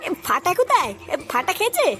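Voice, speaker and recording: A woman voicing an excitable, cheeky cartoon son with a whiny, exclamatory delivery. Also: very high voice, fast, heavily acted. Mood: hungry, whiny. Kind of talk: comic cartoon dialogue. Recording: faint music behind the voice.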